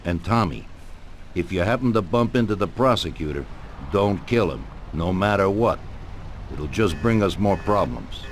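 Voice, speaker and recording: An actor playing a calm, commanding older Mafia don who gives deliberate orders in a video game cutscene. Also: male voice, low voice, a little hiss or hum. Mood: calm, businesslike. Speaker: crime boss.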